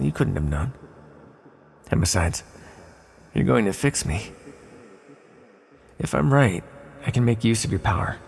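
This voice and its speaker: A man playing an ancient banished villain in an intimate fantasy ASMR roleplay, speaking softly and deliberately with tender, wistful affection. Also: heavily acted. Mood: thoughtful explaining, then reassuring, hopeful. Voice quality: low, heavily creaky voice. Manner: soft, deliberate, tender, with pauses. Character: ancient sealed-away male villain, gentle, wistful, affectionate. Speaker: audio roleplay performer.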